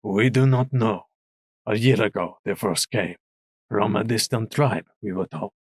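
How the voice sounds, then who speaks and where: A man voicing a weary, grim male zebra soldier who speaks slowly and gravely in a husky voice. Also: on the low side. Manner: slow, grave, measured.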